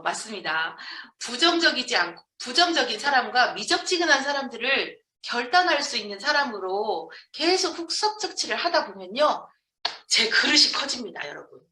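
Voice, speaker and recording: Echoey, high-pitched woman lecturing emphatically. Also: pushed and bright. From Jeonju, South Korea. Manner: emphatic, asks rhetorical questions and answers them. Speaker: business training lecturer. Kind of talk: motivational lecture to an audience. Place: lecture room with an audience. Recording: echoey room, distant microphone.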